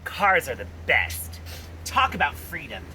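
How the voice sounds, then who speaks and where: Lively, low-pitched, easygoing slacker played by a woman. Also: unhurried, background noise, heavily acted. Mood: candid. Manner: casual, hesitant, rambling. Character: easygoing, self-deprecating human friend with a crummy car. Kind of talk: animated puppet comedy acting.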